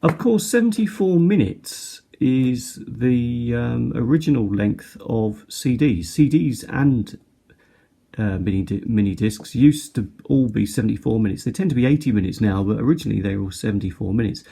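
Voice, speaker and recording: A middle-aged man giving a casual, unscripted product show-and-tell in a hesitant, halting way. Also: medium pitch, animated, creaky, warm tone, husky. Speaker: product reviewer. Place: indoors at a tabletop. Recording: noticeable background noise, handling clicks.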